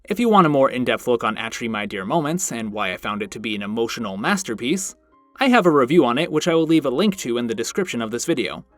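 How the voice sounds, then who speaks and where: A man's voice: mid-range voice, sing-song, fast, dry recording. A man hosting a review channel, narrating a scripted video in a conversational way with wry asides. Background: background music.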